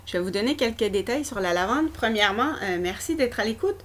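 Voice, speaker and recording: A woman's voice: a little high, animated, fairly quick. A woman with a Québécois accent, casually presenting a product straight to her viewers in a chatty, informal way. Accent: Québécois French. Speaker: essential oil presenter. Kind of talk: casual product demo vlog.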